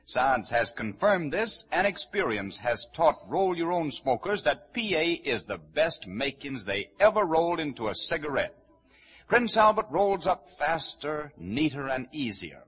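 A man's voice: fairly high pitch, fairly bright tone, slightly rough. An American man announcing in polished, promotional 1940s radio advertising style. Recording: vintage 1940 radio broadcast.

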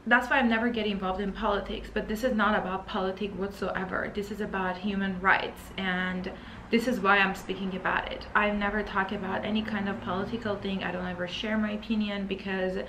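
Female lifestyle vlogger, thirties, medium pitch, earnest and hesitant, emotional personal address, echoey room sound. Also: level tone, a little fast, a bit bright. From Iran. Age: 30s. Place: indoors, living and dining room at home. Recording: roomy echo, faint background noise.

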